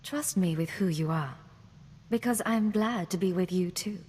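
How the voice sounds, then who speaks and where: An actress playing a poised, wry imperial secret agent in a video game, direct and teasing in manner. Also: female voice, fairly low pitch, normal speed, darkish tone, breathy edge, big-room sound. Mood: tender, flirtatious. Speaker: player character in a video game. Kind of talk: scripted game dialogue.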